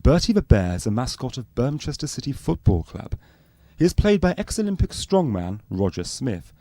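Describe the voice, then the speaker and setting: A man playing a straight-faced, matter-of-fact docu-soap narrator in a radio spoof, reading scripted narration deadpan. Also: mid-range voice, moderate pace, no echo.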